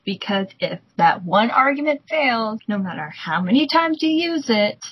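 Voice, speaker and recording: Female co-commentator, husky rough-edged voice, sarcastic scolding, slightly dull lo-fi sound. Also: fairly high pitch, sing-song, normal speed, no room sound. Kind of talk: casual critical commentary.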